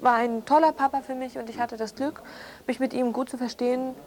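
An actress playing a defiant, unrepentant daughter under questioning in a naturalistic radio drama, firm and plain-spoken. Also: female voice, high-pitched, fairly even intonation, fairly quick, darkish tone, close to an everyday voice. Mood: defensive. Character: defiant daughter, unrepentant killer devoted to her father.

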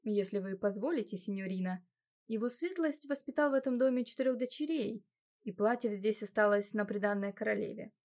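A woman's voice: mid-range voice, fairly quick, darkish tone, lightly acted. A woman voicing a polite, slightly hesitant middle-aged housekeeper. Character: fussy attentive female housekeeper, middle-aged.

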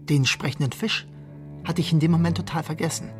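A man's voice: on the high side, steady tone, brisk, lightly acted. An actor playing a condemned husband who narrates his downfall in a detached, ironic, literary way for a radio drama. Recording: music bed behind the voice.

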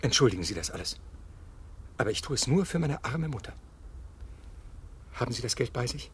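An actor playing a nervous, apologetic blackmailer in a radio play, muttering anxiously to himself. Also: male voice, medium pitch, sing-song, brisk, close to an everyday voice. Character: nervous, apologetic amateur blackmailer, devoted to his mother. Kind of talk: 1970s German radio play acting. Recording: 1970s radio drama, noisy ambience.

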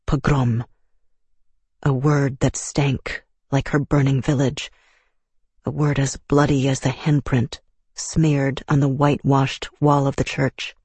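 An audiobook narrator reading a war novel in a measured, solemn way. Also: medium pitch, darkish tone, breathy edge, no room sound. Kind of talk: scripted narration.

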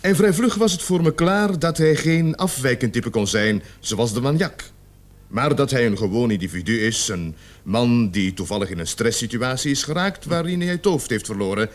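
A man acting in a Dutch radio play as a gruff, authoritative police sergeant with deliberate, emphatic delivery. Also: mid-range voice, animated, lightly acted. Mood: formal, emphatic. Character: gruff, authoritative male police sergeant leading a murder investigation. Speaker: radio drama actor.

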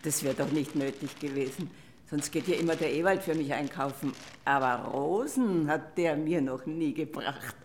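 A woman's voice: deep voice, moderate pace, slight background noise. An actress playing a sweet, fussy old lady in a German radio play, chatty and gushing. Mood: delighted, fussy.

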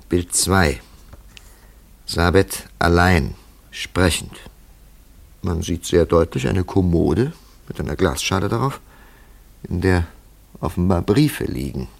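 An actor playing a thoughtful investigator in a radio drama, calm and deliberate as he ponders a mystery. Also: low-pitched, creaky, full character voice. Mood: brisk, methodical. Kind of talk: scripted radio drama acting.

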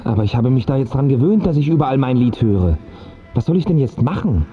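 A man's voice: mid-range voice, big pitch swings, quick pace, close to an everyday voice. An actor playing a needy, restless male pop singer with a pleading, questioning manner in a radio play. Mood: defiant. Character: Bruno, needy, agitated male pop singer chasing success and love. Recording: muffled radio play, music underneath.

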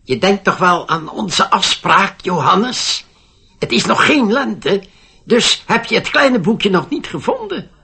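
An actor playing a small mischievous, nagging gnome in a radio play. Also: male voice, sits high, big pitch swings, slow pace, vocal fry, sharp tone, husky, exaggerated voice. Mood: needling, reproachful.

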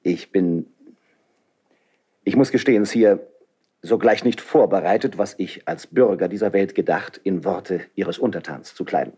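A proud freethinking nobleman in classical radio drama. His voice is lowish, dark and husky, and he declaims his idealistic verse fervently and with deliberation. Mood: guarded, courteous. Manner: declamatory, impassioned, deliberate phrasing.

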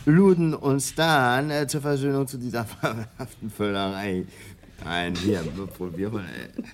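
An actor playing a jovial, irreverent space-hopping gourmand in a radio play, amused and halting in delivery. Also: male voice, medium pitch, close to an everyday voice. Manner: hesitant, amused.

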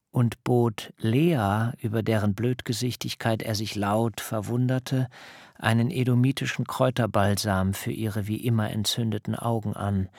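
A proud, wary man narrates his own tale in a radio drama. The voice is gravelly and unhurried, recorded dry and close. His phrasing is measured, wry and literary. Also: fairly low pitch, dry recording. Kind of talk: scripted first-person narration in a radio drama.

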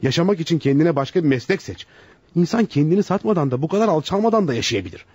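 An actor playing an indignant, wounded psychologist in a radio play, speaking with scornful emphasis and formal diction. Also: male voice, on the high side, lively intonation, quick pace, darkish tone, breathy edge. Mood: contemptuous. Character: indignant, wounded male psychologist, educated and lovestruck. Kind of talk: Turkish radio theater acting. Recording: dull lo-fi radio recording.

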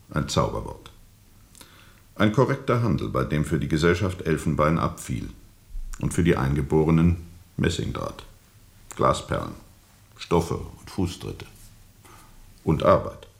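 An actor playing a weary, bitterly ironic steamboat captain in a radio play, speaking deliberately and sardonically with weighty pauses. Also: male voice, rumbling low, creaky, full character voice. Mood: bitter, resigned. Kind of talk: radio play acting, testimony under questioning.

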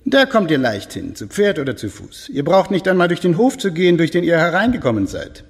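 An actor playing a courteous, sly alchemist lord in a German radio drama, explaining his marvels formally with a husky voice. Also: fairly high pitch, wildly swooping pitch, brisk, full character voice. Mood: obliging. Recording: long reverberant stone-hall echo.

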